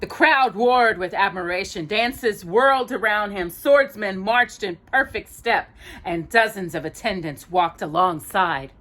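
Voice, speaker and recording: A woman reading a children's story aloud expressively, pausing to put questions to a young listener. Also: medium pitch, a bit bright. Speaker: storyteller and host.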